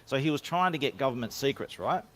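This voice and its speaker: A man's voice: mid-range voice, expressive, quick pace. Rambling Australian man telling a story. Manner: rambling, self-assured, frequent restarts. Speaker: guest. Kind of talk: casual podcast storytelling. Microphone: home microphone, remote call.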